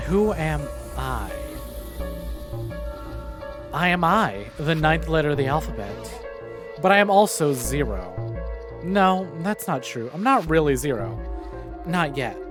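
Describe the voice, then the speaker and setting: A man reads the part of a cryptic, self-assured young woman in a visual novel. The voice is on the higher male side, deliberate and riddling, with faint music behind.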